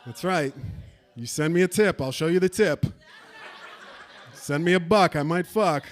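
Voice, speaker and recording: A man's voice: fairly high pitch, measured pace, a little hiss or hum. A man doing a crude stand-up set on a theater stage, teasing and bantering with the audience. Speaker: stand-up comedian. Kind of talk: stand-up set with crowd work.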